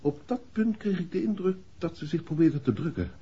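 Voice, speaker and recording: An actor playing a matter-of-fact, precise police inspector in a 1960s Dutch radio play. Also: male voice, fairly high pitch, lively intonation, brisk, warm tone, slightly rough. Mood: businesslike. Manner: businesslike, precise. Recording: dull, muffled vintage radio recording.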